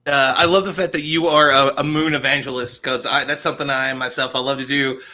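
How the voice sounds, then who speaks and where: Fast, bright-voiced male interview host. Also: medium pitch, little pitch movement. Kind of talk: casual live-stream interview. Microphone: desk microphone, headset, on a video call.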